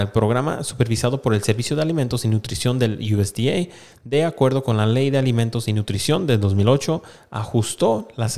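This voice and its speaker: A man in his thirties presents a casual news explainer in a mid-pitched voice. He speaks close to a desk microphone, reading aloud and then restating points in a slightly halting way. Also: a little mellow. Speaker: YouTube host. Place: small indoor room with plain wall. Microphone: desk microphone close in front of him.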